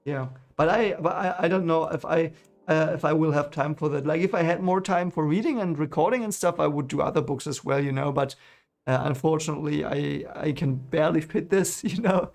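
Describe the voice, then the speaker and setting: German-accented man with a gravelly voice. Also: a little high, fairly quick, soft background music. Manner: rambling, many fillers and restarts. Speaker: streamer reading a book series. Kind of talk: casual chat reacting to live chat.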